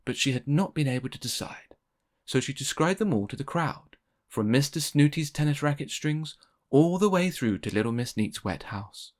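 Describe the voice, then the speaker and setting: A man reads a children's story aloud in a mid-pitched voice. His narration is expressive and very animated, with pitch that moves freely. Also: moderate pace. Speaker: storybook reader.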